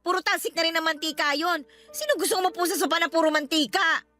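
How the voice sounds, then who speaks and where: A woman's voice: very high-pitched, fast, bright, forceful tone, soft background music. A woman playing a resentful older mother in a Tagalog radio drama, talking in a sarcastic, needling way. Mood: sarcastic, annoyed.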